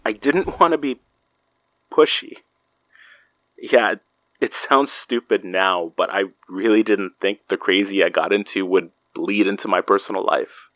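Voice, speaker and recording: Slow-talking, wry, caring man. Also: lowish voice, a bit bright, no echo, dull audio. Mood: apologetic. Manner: halting, sincere, dry humour. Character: caring, wry, apologetic ordinary man in supernatural trouble. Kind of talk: naturalistic horror audio drama acting.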